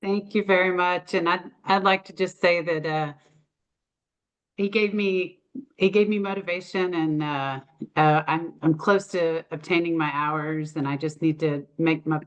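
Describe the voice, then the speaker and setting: Low-voiced woman, polite newcomer. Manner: polite, slightly hesitant. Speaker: new coach. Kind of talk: casual group video call.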